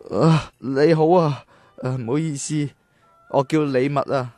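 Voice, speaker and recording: An actor playing a timid, polite young scholar of ancient China, earnest and hesitant, in a radio drama. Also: male voice, medium pitch, a little slow, a little mellow, soft background music, close to an everyday voice.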